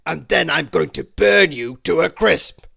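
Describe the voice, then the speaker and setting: Storyteller putting on a huge grumpy dragon, bellowing real loud. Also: medium pitch, expressive, unhurried, vocal fry, slightly rough, exaggerated voice. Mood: threatening.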